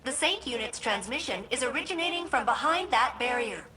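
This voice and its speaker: An actress playing a chirpy, self-satisfied ship computer in a video game, with precise, formal phrasing. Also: female voice, high voice, animated, brisk, bright, forceful tone. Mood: brisk, pleased. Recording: noisy game ambience behind the voice.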